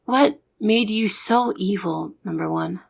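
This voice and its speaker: A young woman playing a soft-hearted, easily exasperated secret agent in an ASMR audio roleplay. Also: medium pitch, a little slow, gravelly. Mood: annoyed.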